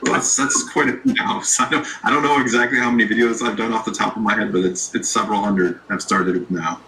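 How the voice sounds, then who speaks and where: A man's voice: lowish voice, fast, slight background noise, poor audio. A man who makes online videos, guest in a casual interview, talking loosely with many restarts. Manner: relaxed, rambling, many restarts. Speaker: YouTube creator, guest.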